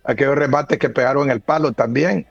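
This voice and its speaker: A man hosting a sports radio show, giving measured, emphatic match analysis. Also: mid-range voice, steady tone, creaky, breathy edge, no echo, highs cut off, faint music behind.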